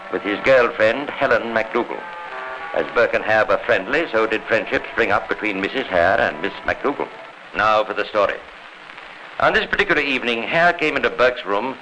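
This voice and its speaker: A man's voice: medium pitch, sharp tone, poor audio. A man narrating a crime drama for 1950s radio in a formal, rhetorical, scripted style. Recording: hissy old 1950s radio recording.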